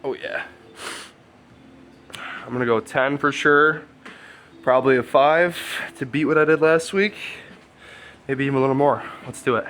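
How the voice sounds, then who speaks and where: A congested man, a strength coach, chatting casually through a training vlog and thinking out loud. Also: medium pitch, steady tone, moderate pace, pauses often. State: congested, stuffy nose.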